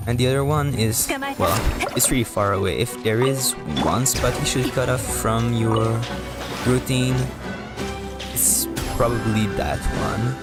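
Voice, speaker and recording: A man giving casual, halting and rambling live commentary as a video game streamer, often repeating words. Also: mid-range voice, over loud music.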